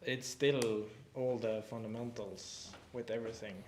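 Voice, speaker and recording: A man's voice: mid-range voice, little pitch movement, measured pace, a little mellow. A young man, an art student, speaking casually and hesitantly with many fillers. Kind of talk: casual live stream chat. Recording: echoey room, faint background hum.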